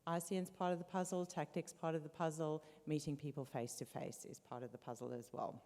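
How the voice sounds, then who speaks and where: Low-voiced South African woman presenting. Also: steady tone, brisk, a little mellow. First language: German. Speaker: speaker for an industry network. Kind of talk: presentation to an audience. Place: hall at a business briefing. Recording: long hall reverb.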